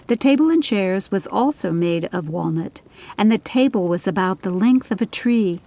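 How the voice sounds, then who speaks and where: A woman's voice: medium pitch, expressive, moderate pace, warm tone. A woman reading scripted audiobook narration of a story.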